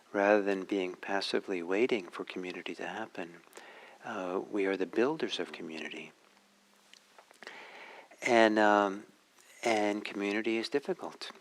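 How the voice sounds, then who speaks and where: Very low-pitched man, hesitant meditation teacher. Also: low-quality recording. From Norway. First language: Norwegian. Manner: hesitant, searching for words, pausing often. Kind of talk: reflective dharma talk.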